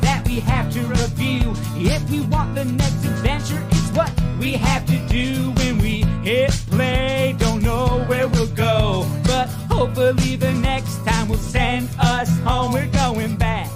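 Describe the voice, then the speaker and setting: High-voiced woman singing over loud music. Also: fairly even intonation, slow, hardly any pauses. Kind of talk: singing a 1970s-style adventure show theme song. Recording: music mixed over the vocal. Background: rock-country band music.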